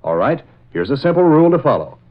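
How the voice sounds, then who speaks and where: A man's voice: mid-range voice, very animated, quick pace. A male announcer narrating a 1940s radio show in a dramatic, emphatic, scripted style. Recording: dull lo-fi vintage radio recording.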